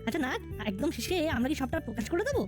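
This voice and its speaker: A woman's voice: high-pitched, big pitch swings, rapid-fire, over music. A woman voicing a keen young cartoon boy, a loyal sidekick, eager and inquisitive.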